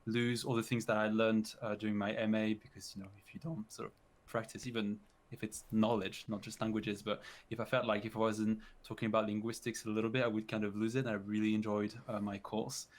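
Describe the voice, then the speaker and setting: A man's voice: lowish voice, flat delivery, fairly quick. Young man, chatty and laughing. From France. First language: French. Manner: friendly, laughs often, chatty. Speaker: guest, language YouTuber. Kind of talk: casual interview chat.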